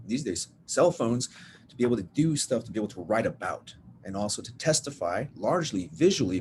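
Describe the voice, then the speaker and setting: Low-voiced man leading a video workshop. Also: noisy background. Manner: loose and rambling, self-deprecating, says y'all. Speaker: workshop leader. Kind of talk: casual teaching talk. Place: at home, typing on a bed. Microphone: video call.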